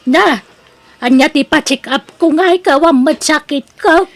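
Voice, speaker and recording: A woman's voice: high voice, measured pace, no echo. A woman playing a stubborn old grandmother chatting in a radio drama, with an Ilocano accent. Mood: stubborn, chatty.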